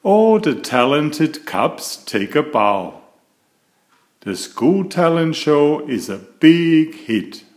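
A man reading a picture book aloud to young children in an expressive, deliberate storytelling manner. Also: a little high. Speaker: picture-book reader and author of children's stories.

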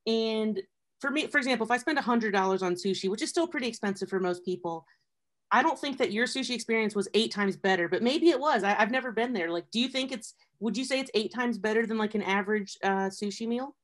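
A man with a high voice hosting a casual interview, chatty and eager with questions. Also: fast talker, fairly bright tone. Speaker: host.

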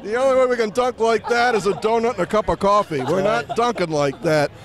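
A middle-aged man hosts casual postgame sports talk. His voice sits high for a man, picked up on a handheld mic inside a large arena. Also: fairly quick. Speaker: postgame show host. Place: on the court of a large indoor arena. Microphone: handheld microphone.

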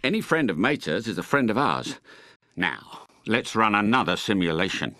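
Lively, suave British spy car. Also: male voice, lowish voice, moderate pace, no echo, clean studio sound. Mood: courteous. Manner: polished, courteous, assured. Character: suave unflappable British spy car, middle-aged gentleman.

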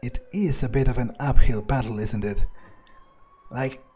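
A man's voice: mid-range voice, unhurried, warm tone, a little hiss or hum. A man roleplaying as he plays a game, thinking aloud in first person with casual swearing. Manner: musing, sweary. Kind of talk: in-character first-person musing over gameplay.

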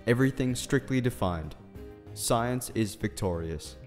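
A man's voice: mid-range voice, expressive, measured pace, a little mellow, lightly acted. A man playing an earnest, awkward scientist in love in a fan radio play, speaking formally and hesitantly. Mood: earnest explaining. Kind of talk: fan radio drama acting. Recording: reverberant hall sound with music underneath.

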